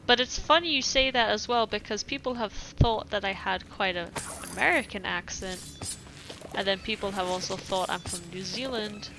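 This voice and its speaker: A woman's voice: medium pitch, normal speed, low-quality recording. Giggly woman streamer, mixed European accent. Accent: mixed European English. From British, raised elsewhere in Europe. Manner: chatty, giggly, many fillers. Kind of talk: casual gaming chat and reading game letters aloud. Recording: music playing behind.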